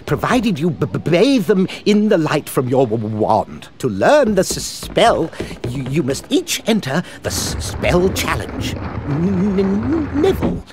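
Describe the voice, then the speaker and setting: Nervous english wizard teacher, stutters badly, slow and highish, game cutscene. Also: male voice, big pitch swings, background noise. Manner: heavy stutter, jittery, halting.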